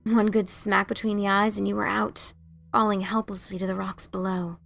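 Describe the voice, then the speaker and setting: A woman voicing a brash, adventurous pegasus mare in a theatrical, expressive fan fiction reading. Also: medium pitch, normal speed, creaky, soft background music. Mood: subdued. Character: brash adventurous pegasus mare, writer, bickering older sibling.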